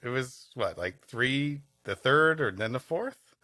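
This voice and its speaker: Guy bantering on a comedy podcast, animated bright voice, real casual. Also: mid-range voice, slow, dry recording, clean studio sound. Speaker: podcast co-host.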